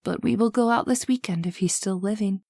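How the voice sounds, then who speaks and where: A woman's voice: medium pitch, brisk, creaky, warm tone, no room sound. Woman playing a haunted radio-horror heroine, dry sound. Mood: somber, haunted. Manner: earnest, dramatic. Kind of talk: old-time radio drama acting, narration.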